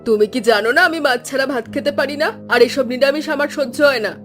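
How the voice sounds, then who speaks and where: A high, rapid, scolding cartoon mother-in-law, played by a woman. She is bossy, scornful and middle-aged, snapping at her family over music. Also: a bit bright. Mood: indignant. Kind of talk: Bengali cartoon dialogue acting. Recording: background music under voice.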